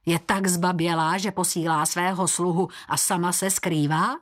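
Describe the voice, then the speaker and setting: A woman's voice: lowish voice, steady tone, natural voice. Woman as a steadfast, defiant male guardian. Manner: eager, defiant.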